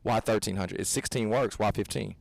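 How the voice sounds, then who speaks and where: A man's voice: lowish voice, fairly quick, vocal fry, a little mellow, no room sound. A man in his thirties co-hosting a fitness podcast, talking in an earnest, preachy way that rambles and restarts. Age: 30s. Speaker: podcast co-host, fitness coach. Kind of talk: casual podcast discussion.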